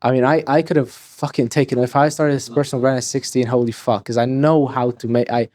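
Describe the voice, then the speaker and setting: Fast-talking young man. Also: medium pitch, fairly even intonation, a little mellow. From Hungary. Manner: rapid, rambling, swears freely, restarts sentences. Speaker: podcast guest. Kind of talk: casual interview talk.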